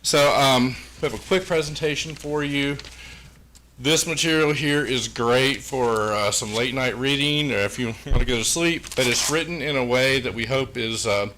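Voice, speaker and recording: A man's voice: moderate pace, a bit bright, a little hiss or hum, poor audio. A man, presenting to a school board in a folksy way, full of "uh" fillers. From Pulaski County, Virginia, USA. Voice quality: big booming voice. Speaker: engineering firm presenter. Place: public meeting room. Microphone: meeting room microphone.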